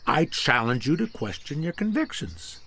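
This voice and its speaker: An actor playing a middle-aged father who gently challenges his grown son in a dry, teasing way. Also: fairly high pitch, very animated, normal speed, gravelly, a little hiss or hum, heavily acted. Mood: wry. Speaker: Sam's father. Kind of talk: audio drama acting.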